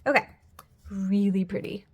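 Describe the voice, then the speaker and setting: A woman's voice: mid-range voice, a little slow, husky. A woman, chatting casually at home while she decorates a planner; she is sniffly, her throat is scratchy, and she rambles with lots of "like" and often drops to a whisper. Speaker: planner vlogger, mother. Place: home room.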